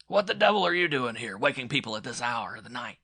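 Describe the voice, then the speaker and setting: A gruff farmer from a dark tale, played by a male narrator, hot-tempered and scornful. He barks his words with a hard, forceful edge, raised above a normal speaking pitch. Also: big pitch swings, fast, full character voice. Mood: angry, threatening. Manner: gruff, barking, contemptuous. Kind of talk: audiobook horror story acting.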